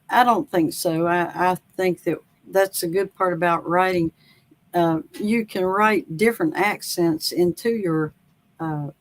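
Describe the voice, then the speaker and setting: A woman author from North Carolina with a Southern accent, chatting in an interview in a slow, folksy, rambling way with many pauses and fillers. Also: fairly low pitch, poor audio. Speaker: author guest. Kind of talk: casual interview.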